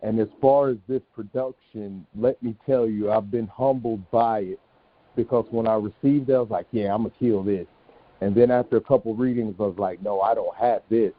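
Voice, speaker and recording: A man's voice: fairly low pitch, steady tone, moderate pace, no echo. A middle-aged man, a stage actor, giving a candid, reflective interview answer as a guest. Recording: tinny phone-like online call.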